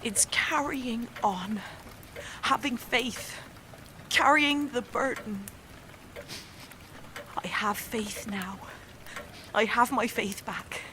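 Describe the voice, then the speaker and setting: A young woman acting in an audio drama as a fragile, fervent, exhausted actress, halting and repeating herself. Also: fairly high pitch, noisy background. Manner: halting, repetitive, self-correcting, intense.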